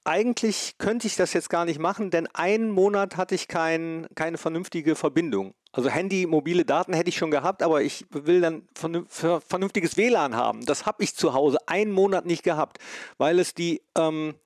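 Higher-voiced man, dry close sound. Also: moderate pace, no room sound. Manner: chatty, self-deprecating, laughs at himself. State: stuffy with a cold. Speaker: podcast host. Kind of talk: casual remote chat. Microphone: phone.

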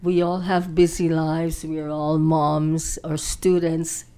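A woman's voice: low-pitched, little pitch movement, dark tone, clear, resonant tone. A woman hosting a panel talk show, introducing guests and reading a quoted statement. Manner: slow, deliberate, affirming interjections.

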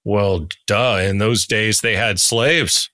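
A man's voice: lowish voice, takes it slowly, sharp tone, no echo, studio quality. A man talking casually as he interviews a guest as host.

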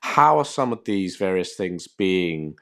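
Deep-voiced man interviewing, thoughtful. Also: sing-song, measured pace, fairly bright tone. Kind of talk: conversational interview. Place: in London.

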